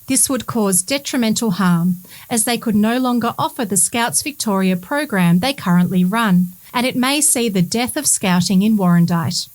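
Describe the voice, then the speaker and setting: A woman reads a community bulletin story as scripted narration in a measured, formal way. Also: mid-range voice. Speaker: reader of community bulletin stories. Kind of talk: scripted news narration, read aloud.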